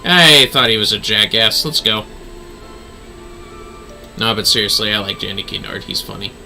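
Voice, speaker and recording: A man's voice: on the low side, moderate pace, gravelly, bright, forceful tone, faint music behind. A man livestreaming a game with casual commentary and offhand, joking, muttered asides.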